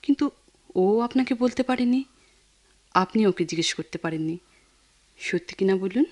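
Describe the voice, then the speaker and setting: A woman acts out an earnest young female family friend in radio drama style, with a Bangladeshi accent. Her voice is medium in pitch, and she speaks with caring, persistent emphasis and a theatrical delivery. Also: expressive, moderate pace, darkish tone, close to an everyday voice. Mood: earnest, insistent. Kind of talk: Bengali radio drama acting.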